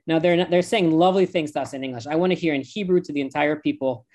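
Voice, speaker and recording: A man's voice: on the high side, fast, a little mellow. A man, a rabbi with an American accent, speaking as an interview guest in an impassioned, emphatic way. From United States.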